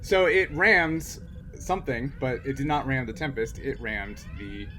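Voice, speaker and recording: A man's voice: fairly high pitch, huge pitch swings, a little slow, pushed and bright. A man running a tabletop roleplaying game among friends as game master, mixing casual rules talk with theatrical flourishes. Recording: clearly noisy background.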